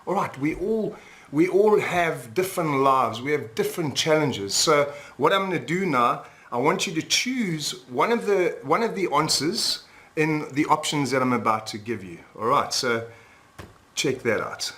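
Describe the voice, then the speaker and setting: A man's voice: a little high, expressive, a little slow, a bit bright. Upbeat South African man presenting. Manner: upbeat, peppers talk with "all right?". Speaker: webinar host and retreat leader. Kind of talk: presenting a sales webinar.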